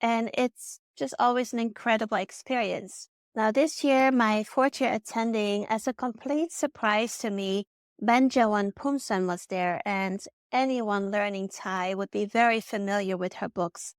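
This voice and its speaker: A woman's voice: mid-range voice, unhurried, clear, resonant tone, no room sound, studio quality. A woman from the Netherlands with a Dutch accent, narrating a personal vlog in an earnest, reflective way. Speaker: vlogger.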